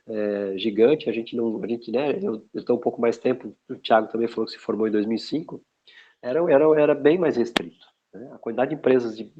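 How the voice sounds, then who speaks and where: A man's voice: medium pitch, mellow, breathy edge. A man from São Paulo with a São Paulo accent, talking as a guest in a casual online interview, rambling and restarting often. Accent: São Paulo Portuguese. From São Paulo, Brazil. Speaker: guest, logistics specialist. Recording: muffled lo-fi sound.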